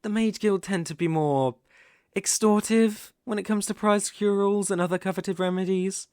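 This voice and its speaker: A man with a British accent playing a smooth-talking, sinister werewolf posing as a protective gentleman, speaking formally and measuredly in an ASMR roleplay. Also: sits high, steady tone, no room sound, clean studio sound, natural voice. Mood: reassuring, persuasive. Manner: courtly, formal, measured, insinuating. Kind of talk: ASMR roleplay audio acting.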